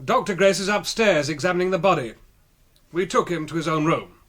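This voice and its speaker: An actor playing an urbane British gentleman investigator in a radio mystery, speaking politely with arch, dry teasing. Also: high-pitched, fairly quick. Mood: smooth, reassuring. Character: urbane, wry gentleman amateur investigator, coolly in control.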